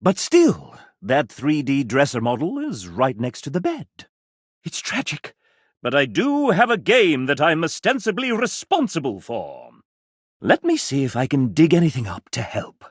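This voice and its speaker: A flamboyant video game host, fussy and sardonic, with a highish voice that swoops dramatically across a very wide range. He draws out his phrases at an unhurried pace with arch, theatrical relish. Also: huge pitch swings, dry recording, studio quality, full character voice. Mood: wry, mock-tragic, then fussy, determined. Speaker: video game character acting as game show host.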